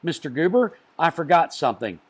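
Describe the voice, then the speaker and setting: A gentle, lonely storybook gentleman, as a male reader plays him. His pitch moves freely up and down. He sounds courteous and softly hopeful. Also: very animated, brisk, husky. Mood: subdued, kindly.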